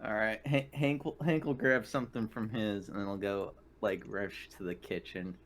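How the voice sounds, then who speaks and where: A man's voice: lowish voice, fairly even intonation, normal speed. A man playing a tabletop roleplaying game, chatting and joking casually as he describes what his character does.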